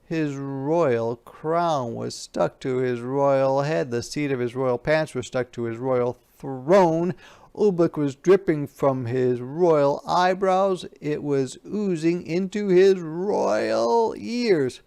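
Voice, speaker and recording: A man reads a storybook aloud in an expressive, theatrical way. His voice sits a little high with plenty of fry. He drops into quieter asides to explain words and pose questions. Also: slow pace. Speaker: teacher reading a picture book aloud.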